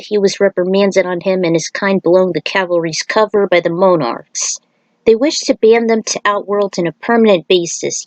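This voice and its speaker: A woman's voice: medium pitch, dry recording, poor audio. Dry-sounding woman narrating a story.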